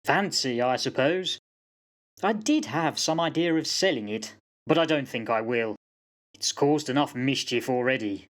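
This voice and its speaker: A male actor plays a grave old soldier in a radio drama. He speaks in a middle-pitched voice with a solemn, foreboding manner, as one who warns of a curse. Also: lively intonation, measured pace, natural voice. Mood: grim, rueful. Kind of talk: radio play acting.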